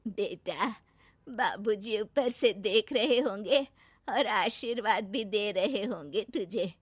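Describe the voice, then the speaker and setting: A woman voicing a traditional old village mother-in-law in an animated story, with a sarcastic, drawn-out manner. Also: mid-range voice, husky, no room sound. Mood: tender, wistful. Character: scolding, traditional old village mother-in-law, tender toward her son. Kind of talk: animated moral story acting.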